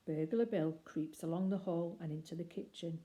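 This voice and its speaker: An older woman reading a picture book aloud as expressive children's story narration. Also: on the low side, moderate pace.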